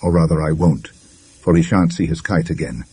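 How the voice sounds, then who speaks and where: A man voicing a glum, irritable, easily rattled gentleman who grumbles curtly in a ghost story audiobook. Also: very deep voice, moderate pace, slight background noise, pitched below the performer's own voice. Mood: indignant grumbling. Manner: grumbling, curt, indignant.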